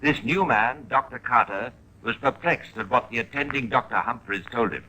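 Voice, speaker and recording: A man narrates in the manner of a 1950s radio crime series. His voice is bright and sharp at a middle pitch, and his delivery is formal and deliberate. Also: a little hiss or hum, poor audio.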